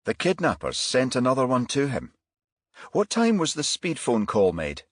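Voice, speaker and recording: A man voicing a brisk, irritable detective in charge, clipped and commanding, in a crime audiobook. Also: mid-range voice, huge pitch swings, no room sound, clean studio sound, voice pushed up.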